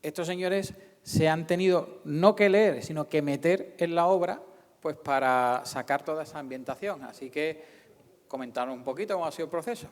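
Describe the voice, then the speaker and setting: A man presenting informally at an event, halting as he searches for words. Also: on the high side. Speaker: radio drama actor and presenter. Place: large indoor hall at a public event. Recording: echoey hall, long reverb.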